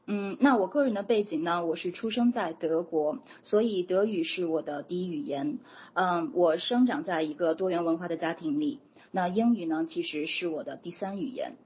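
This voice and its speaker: Lower-voiced woman interpreting, lo-fi. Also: husky. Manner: steady, with frequent filler pauses. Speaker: interpreter and academic advisor. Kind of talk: consecutive interpreting. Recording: dull lo-fi audio with faint noise.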